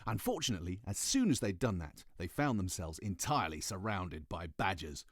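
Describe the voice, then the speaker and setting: A British man narrating a children's story in an animated, expressive audiobook style. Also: low voice, brisk, no echo, studio quality.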